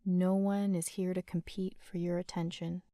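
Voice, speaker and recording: A woman leading a guided sleep meditation in a gentle, soothing, unhurried manner with long pauses. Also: fairly low pitch, little pitch movement, dry recording, studio quality.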